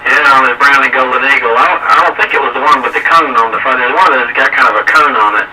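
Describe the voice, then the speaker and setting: A man's voice: mid-range voice, fast. A man chatting casually about his radio hobby, heard over a CB radio. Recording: distant noisy CB radio speaker.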